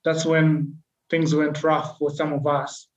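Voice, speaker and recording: A man, a podcast guest telling his life story in an earnest, reflective, measured way. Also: a little high, flat delivery. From Kenya. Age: 20s. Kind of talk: personal storytelling in an interview. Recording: echoey, dull lo-fi recording.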